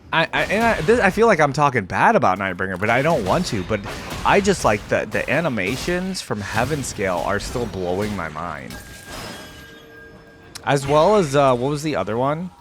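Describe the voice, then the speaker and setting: A man's voice: mid-range voice, fairly bright tone. Casual man reacting over music. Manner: informal gamer slang, mild exclamations. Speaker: reaction video host.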